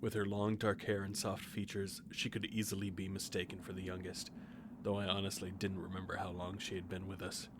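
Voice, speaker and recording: Man acting very deep, solemn grim reaper. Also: monotone, a little fast, noisy background, close to an everyday voice. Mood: detached. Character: grim reaper narrator, centuries old, detached, puzzled. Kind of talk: first-person audio drama narration. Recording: music behind the voice. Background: music with guitar.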